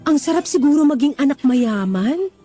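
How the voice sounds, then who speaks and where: A bubbly young office worker woman, teasing and lively in a radio drama. Her voice sits very high and bright. Soft music plays underneath. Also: moderate pace. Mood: playful.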